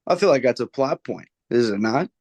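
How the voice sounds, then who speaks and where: A man's voice: medium pitch, expressive, fairly quick, a little mellow, no room sound. A young man co-hosting a casual sports podcast, laid-back, with dry quips. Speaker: podcast co-host.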